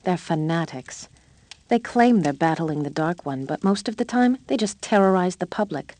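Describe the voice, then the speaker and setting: A scholarly tower keeper acted by a woman in a fantasy game, composed yet worried. The voice is lowish and soft-edged, and the delivery is measured and explanatory. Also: animated, no room sound, lightly acted. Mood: disapproving. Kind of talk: dramatic game cutscene acting.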